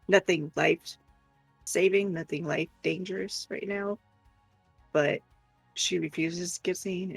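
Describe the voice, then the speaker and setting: A woman's voice: fairly low pitch, vocal fry, breathy edge, no room sound, poor audio. A woman co-hosting a casual chat, reading out messages and commenting in a frank, matter-of-fact way.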